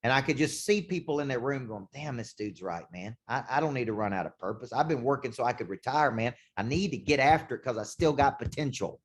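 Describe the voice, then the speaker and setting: A man, a sales coach, giving an intense motivational talk with punchy repetition, slowing down to hammer key points. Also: medium pitch, expressive. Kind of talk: motivational talk to a show host. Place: condo.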